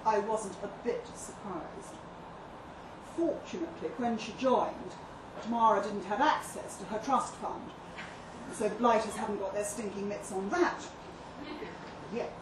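Brief: actress as posh, disapproving English mum, prim and scornful, mid pitch, recorded at a distance over room noise. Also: female voice, natural voice. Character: haughty, indignant upper-class English mother, drily disapproving. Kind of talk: dramatic monologue read at a live story event. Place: live reading in a pub function room. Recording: echoey room, distant mic, noisy ambience.

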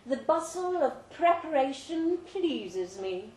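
A woman reading a literary story aloud to a live event, theatrical and deliberate, with dramatic pauses. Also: sits high, big pitch swings, a little mellow. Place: indoor live reading in a pub venue. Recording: roomy live venue, faint background noise.

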